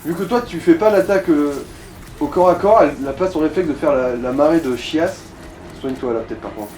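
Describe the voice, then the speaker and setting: A man's voice: a little high, fairly quick, darkish tone. A man chatting casually in colloquial speech as he coaches another player through a game, giving tips as co-commentator. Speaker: let's play co-commentator guiding the player. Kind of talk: casual gaming chat. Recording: echoey room, faint background noise.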